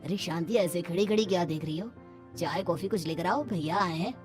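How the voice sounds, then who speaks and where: A woman's voice: fairly low pitch, brisk, lightly acted. Village husband played by a woman, middle-aged, hospitable, familiar, mildly bossy, cartoon story over music. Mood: hospitable, directing. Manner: familiar, directing, agreeable.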